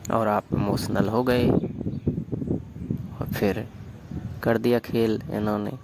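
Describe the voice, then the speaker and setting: Gravelly man coaxing on a noisy call. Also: fairly low pitch, little pitch movement, slow pace. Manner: coaxing, prodding questions. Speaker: caller. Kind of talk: phone call, questioning. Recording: phone call recording with loud hiss.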